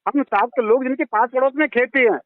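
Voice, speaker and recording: High-voiced pleading Hindi man on tinny phone. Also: brisk, no echo. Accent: western Uttar Pradesh. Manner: pleading, insistent, deferential, repeats himself. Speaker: villager on a phone call to his MP. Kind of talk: heated phone conversation. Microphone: phone line. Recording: tinny narrowband phone call recording.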